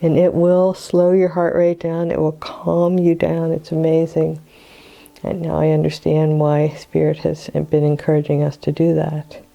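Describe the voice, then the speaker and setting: Older woman, meditation guide, hoarse with a cold; low, dark, gravelly tone, gentle and unhurried. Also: completely flat delivery, highs cut off. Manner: slow, soothing, with throat clearing.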